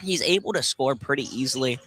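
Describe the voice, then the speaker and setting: A man's voice: mid-range voice, a little fast, fairly bright tone, slight background noise. A young man giving informal, conversational color commentary during a live college basketball radio broadcast.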